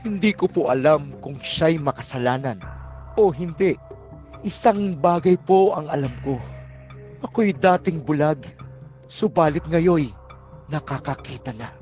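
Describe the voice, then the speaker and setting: A man's voice: fairly high pitch, animated, unhurried, long pauses, a little mellow, soft background music. A man playing an earnest, humble but outspoken beggar in an audio Bible drama. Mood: firm, humble.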